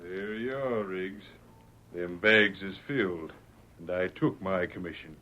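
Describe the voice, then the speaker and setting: An actor playing a cocky frontier card sharp in a radio western, with an American accent. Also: male voice, low voice, a little slow. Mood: sly. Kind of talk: 1940s radio western acting. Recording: muffled old radio recording, crowd behind.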